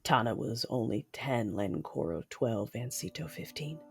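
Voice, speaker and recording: A woman's voice: rumbling low, animated, unhurried, creaky, heavily acted. A woman playing a grieving, vengeful Sith mother who narrates her story in the first person. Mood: grieving, wistful.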